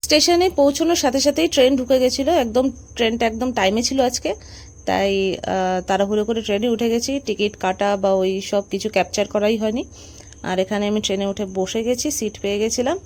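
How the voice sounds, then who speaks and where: A woman's voice: fairly high pitch, quick pace. A young woman with a West Bengali accent, chatting casually to viewers as she narrates her daily vlog. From West Bengal, India. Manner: chatty, addresses viewers as friends. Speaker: vlogger. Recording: noisy outdoor traffic and rain ambience.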